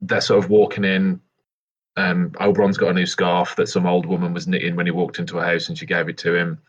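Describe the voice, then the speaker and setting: A man's voice: low voice, flat delivery, a little fast, husky. A man casually improvising what his character does as a player in a tabletop roleplay game. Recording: dull, lo-fi game recording.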